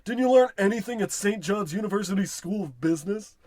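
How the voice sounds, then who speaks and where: Male actor for cocky salesman, old-time radio parody. High voice, patronizing, dismissive, dry studio sound. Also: expressive, brisk, darkish tone, no echo. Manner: bossy, patronizing.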